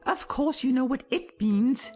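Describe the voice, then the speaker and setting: A high, lively-pitched mouse acted by a woman for a storybook audiobook. It is pompous and pedantic, holding forth with great self-importance and a prickly politeness. Faint music sits behind the voice. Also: unhurried. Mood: nettled. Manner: pompous, pedantic, polite but easily nettled. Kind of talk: dramatized storybook audiobook acting.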